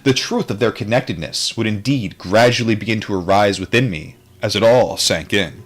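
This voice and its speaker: A man's voice: lowish voice, normal speed, slightly rough. A man reading aloud from a book in an informal way, sometimes adding casual commentary and stumbling over difficult terms. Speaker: book reader, streamer.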